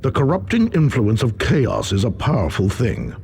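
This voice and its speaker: Brooding sage, very animated intonation. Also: male voice, mid-range voice, gravelly, a little hiss or hum. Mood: ominous. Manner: ominous, portentous, measured. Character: brooding, cautious space marine psychic sage. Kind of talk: video game character acting.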